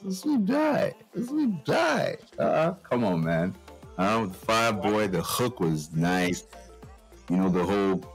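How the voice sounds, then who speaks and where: Deep-voiced man, slangy and emphatic. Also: very animated, takes it slowly, faint music behind. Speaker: guest co-host of music podcast. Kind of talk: casual music review chat.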